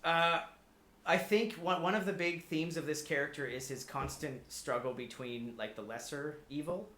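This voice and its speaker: A man chatting casually on a podcast as a guest, explaining things in a halting, rambling way with repeated words. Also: a little high, fairly bright tone, a little hiss or hum. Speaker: podcast guest pitching a film adaptation. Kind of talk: casual chat. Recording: echoey room.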